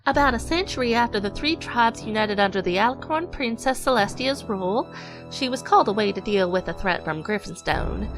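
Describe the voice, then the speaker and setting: Lady as a gentle country mom pony reading a bedtime story, mid pitch, music playing behind. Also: close to an everyday voice, rough recording. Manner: tender, motherly, folksy.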